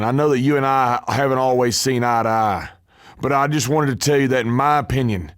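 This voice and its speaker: A man's voice: medium pitch, dry recording, clean studio sound, close to an everyday voice. A man playing a cocky, scheming, trash-talking pro wrestler in scripted video game dialogue, with a brash, emphatic delivery.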